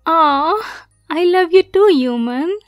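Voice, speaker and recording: A woman's voice: extremely high pitch, animated, slow, dry recording, dull audio, soft background music, full character voice. A woman playing a sweet, naive but possessive mermaid girlfriend in an intimate ASMR roleplay, coy and often slipping toward a whisper. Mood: excited, delighted.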